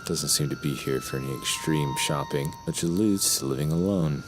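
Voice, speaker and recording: A man's voice: a bass voice, normal speed, music in the background. A man playing a desperate crime writer in an audio drama, wordy and theatrical, talking himself toward something sinister. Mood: coldly persuasive.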